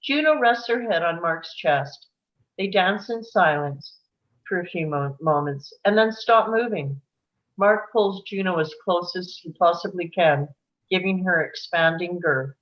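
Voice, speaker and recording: Woman reading out script directions, lowish voice, kinda lo-fi sound. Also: expressive, unhurried. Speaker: reader of stage directions. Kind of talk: scripted narration at a live table read.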